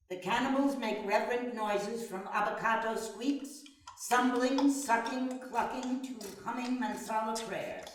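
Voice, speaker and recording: A woman's voice: fairly high pitch, slight background noise. A woman reading stage directions as narrator in a dramatic live play reading. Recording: echoey hall, far from mic.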